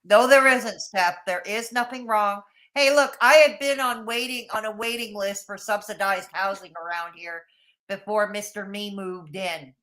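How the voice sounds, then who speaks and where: A woman's voice: mid-range voice, moderate pace, fairly bright tone, rough recording. Canadian woman hosting a chatty stream. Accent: Canadian English. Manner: laughs often, reads viewer comments aloud, self-mocking. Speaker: stream host. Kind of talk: casual livestream chat.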